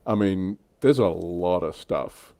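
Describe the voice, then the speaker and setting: A man's voice: low-pitched, slow, dark tone, no echo. A man hosting a casual hobby panel chat, talking informally. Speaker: host and reviewer on a tabletop wargaming livestream.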